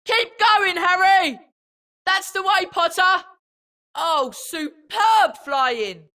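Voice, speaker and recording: A woman playing an excited young student calling out cheers in scripted video game lines. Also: very high voice, extremely slow, heavily acted. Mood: excited cheering.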